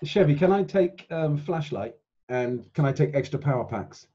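A man's voice: on the high side, lively intonation, moderate pace, background noise. A man chatting casually as a player in a tabletop role-playing game over a video call. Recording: dull, muffled online call audio.

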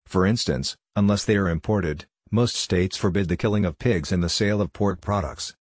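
A man narrating a scripted farming guide in a steady, even reading voice. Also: sits low, normal speed, no room sound, clean studio sound.

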